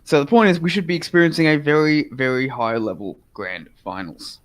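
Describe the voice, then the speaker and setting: A man's voice: a little high, brisk. Chatty Australian man casting a game. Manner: chatty, upbeat, informative. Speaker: esports caster. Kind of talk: live game commentary.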